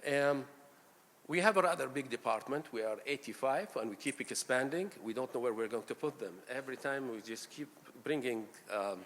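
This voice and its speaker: A middle-aged man from Iraq giving a conference lecture as a pain physician, speaking in a halting way with many fillers, gracious toward his hosts. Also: mid-range voice, fairly bright tone. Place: large lecture hall. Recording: reverberant hall, faint room noise.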